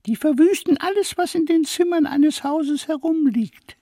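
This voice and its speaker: An actress playing a lively, knowing ghost hunter who explains expressively in a children's radio drama. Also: female voice, sits high, normal speed, dry recording, lightly acted. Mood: relishing.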